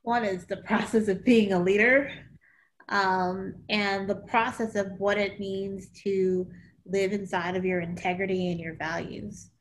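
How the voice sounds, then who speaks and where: A woman in her thirties, a leadership coach, speaking candidly and animatedly on a video call from her home, sometimes trailing off softly. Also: medium pitch, fairly even intonation, a little slow, slight background noise, rough recording. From born in Okinawa, grew up in California. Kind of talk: panel discussion. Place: small home room indoors. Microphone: laptop camera on video call.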